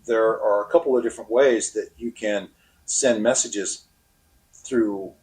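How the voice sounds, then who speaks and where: A man explaining his experience as a radio operator in an interview, with halting, deliberate phrasing. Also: lowish voice, steady tone, a little mellow, rough recording.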